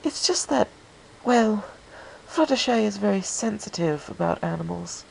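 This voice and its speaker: Man doing a high-pitched genteel lady pony. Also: animated, measured pace, vocal fry, a little hiss or hum, heavily acted, poor audio. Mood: worried. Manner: genteel, considerate, dramatic. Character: refined, concerned, kindly young lady cartoon pony.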